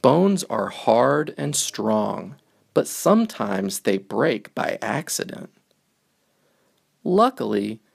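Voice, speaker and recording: A man reads a children's picture book aloud in a very creaky, gravelly voice. His pitch swings in a lively way as he moves through the simple lines, and he takes his time over each phrase.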